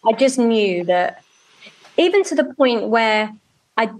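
A woman's voice: on the high side, takes it slowly, poor audio. A woman from Norwich, chatting as a guest in an interview over a video call, telling stories and often correcting herself. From Norwich, England. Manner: chatty, self-correcting, animated storytelling. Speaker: guest, music media professional.

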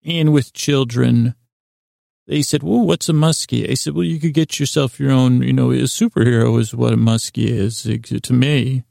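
Man with a very creaky, gravelly voice. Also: medium pitch, fairly even intonation, mellow, no room sound, clean studio sound. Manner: halting, digressive, mumbly self-interruptions. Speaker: podcast host. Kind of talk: rambling, meandering bedtime podcast monologue.

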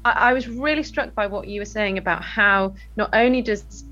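A woman's voice: mid-range voice, moderate pace, pushed and bright, faint music behind. A woman hosting a thoughtful academic interview, speaking in a reflective, exploratory way. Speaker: interviewer, podcast host. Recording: dull, lo-fi sound.

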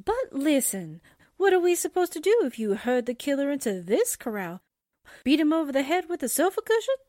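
A woman playing a sarcastic, slangy, excitable female sidekick in a radio drama. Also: high voice, fairly quick, no echo, clean studio sound. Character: wisecracking, jumpy, sarcastic female newspaper sidekick.

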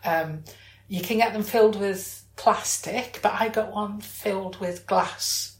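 A woman's voice: medium pitch, slow pace. A woman talking in a casual, chatty and informal way, often searching for words. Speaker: podcast host. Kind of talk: casual chat. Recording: echoey room.

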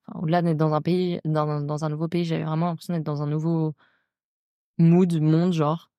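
A woman's voice: deep voice, little pitch movement, normal speed, warm tone, no room sound, muffled recording. A young adult woman from France with a French accent, hosting a casual solo podcast in informal, slangy speech aimed straight at the listener. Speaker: podcast host. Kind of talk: casual solo chat about personal experience.

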